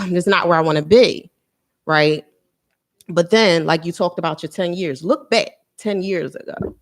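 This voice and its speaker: A woman in her thirties, an interview guest talking candidly and reflectively about her life, often restarting her sentences. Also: fairly low pitch. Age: 30s. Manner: earnest and reflective, with frequent restarts and repeats. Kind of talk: candid personal conversation.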